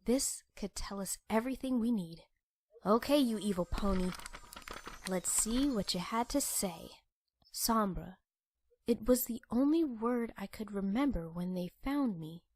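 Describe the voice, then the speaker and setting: A woman's voice: mid-range voice, animated, a little slow, darkish tone, poor audio. A woman voicing an earnest, bookish young pony princess in a cartoon-style comic dub. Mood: eager, determined.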